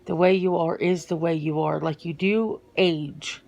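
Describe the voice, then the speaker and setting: A woman playing a guarded, earnest werewolf answering interview questions in an ASMR roleplay, speaking haltingly and matter-of-factly. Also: on the low side, steady tone, vocal fry, a little mellow. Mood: matter-of-fact, reflective. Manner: hesitant, matter-of-fact, halting with pauses.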